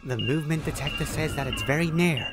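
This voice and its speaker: A woman's voice: a little fast, lightly acted. A woman voicing a nervous, fussy, exasperated male mad scientist henchman in a cartoon comic dub, with music behind. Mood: fretful. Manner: fretful, highly expressive, fussy. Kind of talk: animated comic dub acting.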